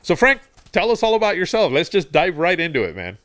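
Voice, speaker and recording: A man's voice: high voice, wildly swooping pitch, fairly quick, crowd noise. A man hosting an interview, upbeat and jokey in his chat. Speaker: host. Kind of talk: friendly interview.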